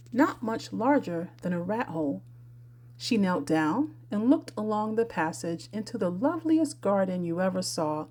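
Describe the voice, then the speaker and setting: A woman reading a classic children's story aloud as an expressive audiobook narrator. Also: medium pitch. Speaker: audiobook reader.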